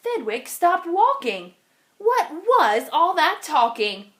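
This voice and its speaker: A woman reading a rhyming children's storybook aloud in an expressive style. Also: very high-pitched, slow pace. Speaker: storybook reader.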